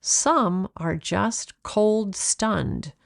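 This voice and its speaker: A woman's voice: mid-range voice, lively intonation, very slow, creaky, dry recording, clean studio sound, exaggerated voice. A woman voicing a kindly, knowledgeable male wildlife rescuer in a children's storybook, reassuring and explanatory. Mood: frank, hopeful. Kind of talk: children's storybook read-aloud.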